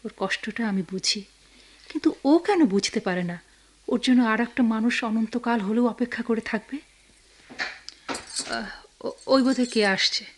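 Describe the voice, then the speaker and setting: A woman's voice: a little high, measured pace, long pauses. A woman with a Bangladeshi accent playing a concerned, caring older cousin in a radio drama. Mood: concerned, wistful. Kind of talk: Bengali radio drama acting.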